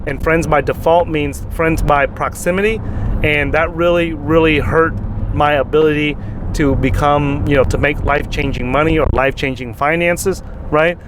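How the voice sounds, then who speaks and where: A man co-hosting a casual chat, talking in an animated way, often repeating his words and laughing. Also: fairly high pitch, moderate pace, noisy background. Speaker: co-host.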